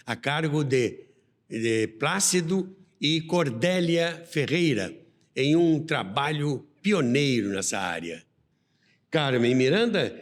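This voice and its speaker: A Brazilian man presenting radio history in a narrated documentary style, with deliberate, emphatic phrasing and pauses. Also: on the high side. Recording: long reverb on the voice.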